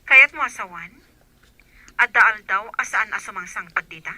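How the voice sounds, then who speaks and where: Sharp, bossy boss lady on phone. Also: medium pitch, moderate pace, no echo. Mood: suspicious, scolding. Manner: interrogating, impatient. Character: suspicious, bossy female employer checking on her maid. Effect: telephone filter. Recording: sharp thin telephone-call sound.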